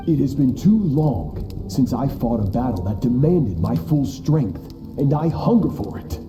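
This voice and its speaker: An actor playing a ruthless, battle-hungry sword master in a video game, speaking in a cold, haughty, grandiose manner. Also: male voice, medium pitch. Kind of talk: dramatic video game dialogue.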